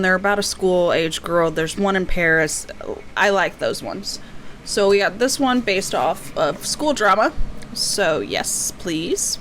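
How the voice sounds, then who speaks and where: A woman's voice: mid-range voice, fairly bright tone. A woman book vlogger talking casually in a rambling, self-deprecating way, often drifting into tangents. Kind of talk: casual chatty unboxing vlog. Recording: clear background noise.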